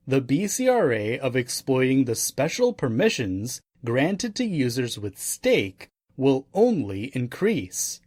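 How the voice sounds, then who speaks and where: Unhurried male narrator, lively intonation. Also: mid-range voice, breathy edge, no echo, studio quality. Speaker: audiobook narrator. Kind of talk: scripted nonfiction audiobook narration.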